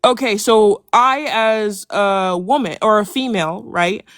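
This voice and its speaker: A woman's voice: medium pitch, a little slow, fairly bright tone, no echo. Woman on a video call asking pointed questions over and over, dry sound. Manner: probing, repeats questions, interrupts. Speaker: debater. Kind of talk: heated online debate.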